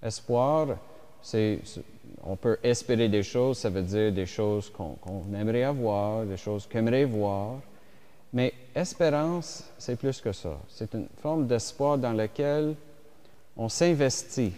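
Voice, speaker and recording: A man whose first language is English, giving a slow, reflective French homily as a bishop at mass, with pauses and fillers. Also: medium pitch, warm tone. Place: church. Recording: reverberant church hall.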